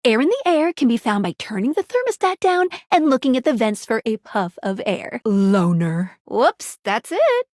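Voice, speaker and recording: A perky video game guide, a living pair of magic glasses with a high, girlish pitch. The delivery is quirky and earnest, swinging widely in melody, and recorded close and dry. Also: normal speed, hardly any pauses, dry recording. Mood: helpful hints. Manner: quirky, expressive, chatty, with cute interjections.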